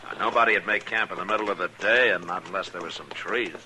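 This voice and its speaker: An actor playing a steady, laconic frontier lawman with an American accent, measured and dry, in an old-time radio western. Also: sits low, poor audio. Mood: steady, decisive. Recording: old radio recording with faint noise.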